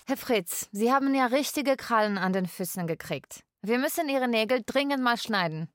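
An actress playing a polite, persistent young Polish carer in a radio drama, with careful phrasing, both narrating and speaking. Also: female voice, on the high side, lively intonation, moderate pace, lightly acted. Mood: polite, caring. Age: young adult. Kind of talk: radio drama, part narration in third person.

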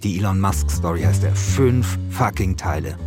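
Male podcast journalist, wry and self-ironic, medium-low voice over music. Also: animated, normal speed. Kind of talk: scripted podcast narration and casual chat.

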